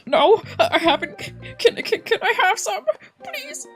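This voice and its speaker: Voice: very high-pitched, very animated, unhurried, a bit bright, soft background music, pitched above the performer's own voice, exaggerated voice. A person voicing an eager, childish young male gangster underling in a visual novel read-through. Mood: pleading, excited.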